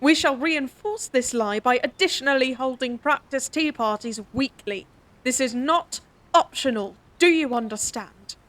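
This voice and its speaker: A woman voicing a stern, prim noblewoman attendant in a fantasy audio drama, sharp and scolding. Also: high voice, unhurried. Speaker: princess's attendant.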